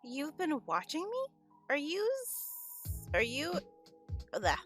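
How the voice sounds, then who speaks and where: A woman streamer giving casual, excitable commentary while playing a game. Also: high voice, slow pace, over music. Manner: excitable, expressive. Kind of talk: casual reactive gameplay commentary.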